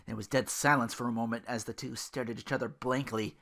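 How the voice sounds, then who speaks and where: A podcast host reading fan fiction aloud in an animated, expressive way. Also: mid-range voice, a little fast. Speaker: host and voice performer.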